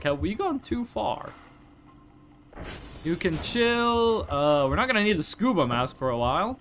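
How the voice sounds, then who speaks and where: A man's voice: high-pitched, a bit bright, slight background noise. A young man from Northern Norway, a Norwegian native speaker with a Northern Norwegian accent, chatting casually on a game livestream, rambling through stories with mock-formal asides. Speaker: streamer. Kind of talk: casual livestream chat while gaming.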